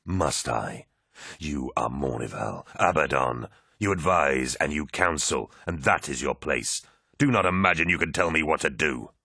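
A man voicing a furious, commanding warlord who roars and then growls low. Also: lively intonation, a little slow, creaky, pushed and bright, husky, no room sound, studio quality, exaggerated voice.

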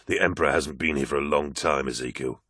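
A man voicing a calm, methodical warrior who cautions a comrade in a measured way, in a science-fiction audiobook. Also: low-pitched, slightly rough, dry recording, clean studio sound, exaggerated voice. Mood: weary, resigned.

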